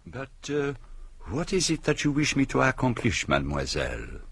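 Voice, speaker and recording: An actor playing a polite, precise retired foreign detective in a radio drama, with a French-speaking accent and formal, deliberate manner. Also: male voice, medium pitch, wildly swooping pitch, a bit bright, breathy edge, full character voice. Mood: courteous, grave.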